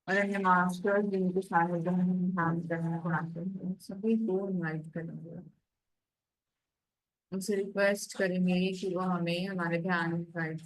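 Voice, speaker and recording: Indian woman, meditation guide: lower-pitched, very even delivery, calm, close dry sound. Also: no echo, rough recording.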